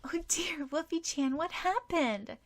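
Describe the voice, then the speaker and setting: A woman voicing a sweet, earnest girl in an audiobook, speaking softly. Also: very high voice, slow, voice pushed up. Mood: worried, cooing. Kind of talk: audiobook character dialogue.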